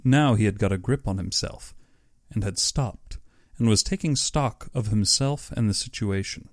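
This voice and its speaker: A man's voice: lowish voice, normal speed, dry recording, studio quality. A man narrating an audiobook story in a dramatic, scripted reading style.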